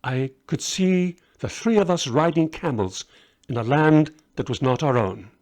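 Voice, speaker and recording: A man's voice: a little high, measured pace, darkish tone, pitched above the performer's own voice, close to an everyday voice. A man reading the part of a learned male astrologer, proud yet anxious, explaining himself earnestly in a story. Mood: hesitant recollection.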